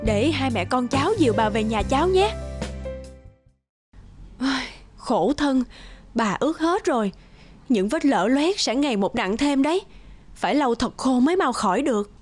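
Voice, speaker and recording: An actress playing a kind young peasant mother in an animated folk tale, gentle and respectful in manner. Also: high-pitched, normal speed, pauses often, faint music behind. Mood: worried, caring.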